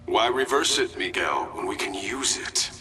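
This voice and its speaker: An older game villain with a low, sharp-edged, hissing voice. He monologues with calm, condescending grandeur, heard from a distance. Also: moderate pace. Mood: smug, persuasive. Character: older villainous man, self-righteous, grandiose would-be savior. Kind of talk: video game villain acting. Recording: distant voice with faint noise.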